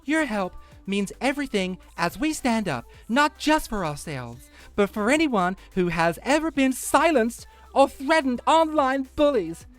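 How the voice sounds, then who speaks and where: A man's voice: very high voice, expressive, unhurried, hardly any pauses, a bit bright, soft background music, voice pushed up, full character voice. A man doing a mock dramatic reading as a pompous, melodramatic fundraiser, pleading like a politician on the stump. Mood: earnest, grandiose. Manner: overblown, politician-like speechifying. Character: pompous, melodramatic crowdfunding appealer pleading for justice.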